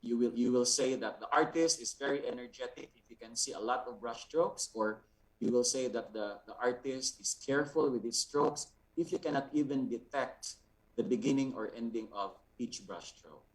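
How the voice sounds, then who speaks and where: A man with a Filipino accent explaining a lesson as an art instructor, deliberately, repeating words and checking with short tags. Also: medium pitch. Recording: distant, off-mic sound.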